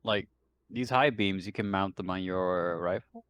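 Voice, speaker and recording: A man's voice: deep voice, measured pace, fairly bright tone, clear, resonant tone, no room sound. A man playing a tabletop game, chatting casually over an online voice call.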